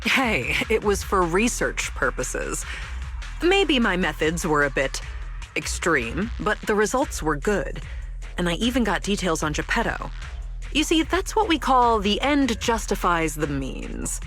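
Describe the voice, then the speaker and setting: A woman's voice: fairly low pitch, fairly bright tone. An actress playing a playful, rebellious young woman researcher in a video game, teasing, breezy and sure of herself. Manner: teasing, breezy, self-assured. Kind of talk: scripted video game story dialogue. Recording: music behind the voice.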